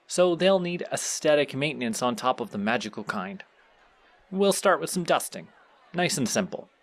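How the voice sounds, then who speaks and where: A man's voice: fairly high pitch, lightly acted. Man playing a courteous, formal supervillain boss. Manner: polite, formal, measured, instructive. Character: courteous, surprisingly pleasant male supervillain boss. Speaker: voice actor in an audio roleplay. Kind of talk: audio roleplay acting, speaking to the listener.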